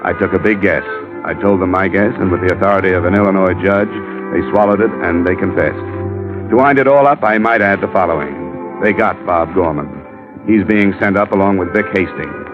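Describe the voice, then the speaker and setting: An actor playing a wry, confident American insurance investigator in old-time radio style, clipped and matter-of-fact. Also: male voice, low voice, a little fast, close to an everyday voice. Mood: wry, satisfied. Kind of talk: 1940s-50s radio detective acting and first-person narration. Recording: muffled vintage radio recording with music.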